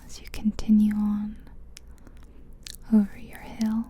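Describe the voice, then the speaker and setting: A woman whispering a slow, soothing guided visualization as an ASMR host, close to the mic. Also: medium pitch, monotone, mellow, slightly rough. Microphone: close mic, ear to ear.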